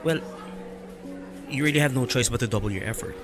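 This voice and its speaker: An actor playing a frank but encouraging college professor with a Filipino accent, measured and switching between English and Tagalog. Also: medium pitch, over music, natural voice. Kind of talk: radio drama acting.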